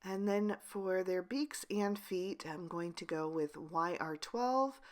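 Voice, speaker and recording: Hoarse woman, nearly whispering. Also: fairly low pitch, slow pace. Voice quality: breathy, near-whispered. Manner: pushes to speak as loudly as she can, steady instructional tone. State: hoarse, losing her voice. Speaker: craft channel host. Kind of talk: voice-over narrating a card-coloring tutorial.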